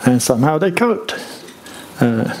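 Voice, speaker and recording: An older man, preaching a sermon from a church stage in a conversational, unhurried way with rhetorical questions. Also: mid-range voice, sing-song, a little mellow, a little hiss or hum, poor audio.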